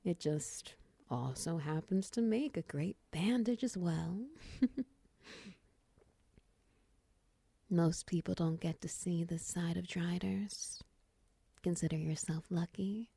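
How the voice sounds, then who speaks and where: A woman plays a nurturing, playful half-spider woman in an intimate roleplay. Her voice is lowish and gravelly with fry, often sinking into a breathy near-whisper. She murmurs slowly and gently to the listener, with a teasing edge. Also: no echo, full character voice. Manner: gentle, teasing, slow murmurs with soft chuckles. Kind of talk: intimate audio roleplay, soft-spoken to the listener.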